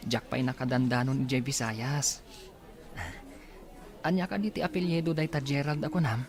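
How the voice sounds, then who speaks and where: A man's voice: mid-range voice, steady tone, normal speed, close to an everyday voice. An actor playing an earnest, courteous young man in a radio drama. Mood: polite, curious. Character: earnest, courteous young man seeking his lost sibling. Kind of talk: Ilocano radio drama acting.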